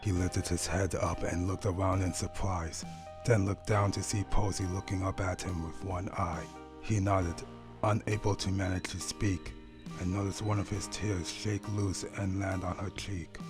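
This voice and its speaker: A man's voice: deep voice, level tone, unhurried, over music. A man reading dramatic fiction aloud as a scripted story narration.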